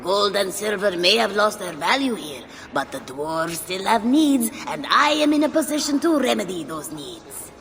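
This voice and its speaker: A woman's voice: medium pitch, big pitch swings, normal speed, few pauses, a bit bright. An actress playing a sly, teasing, scheming female merchant imp in a video game, with a playful, insinuating, theatrical delivery. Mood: businesslike, sly. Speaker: merchant character. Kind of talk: game cutscene acting. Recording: long hall reverb, clear background noise.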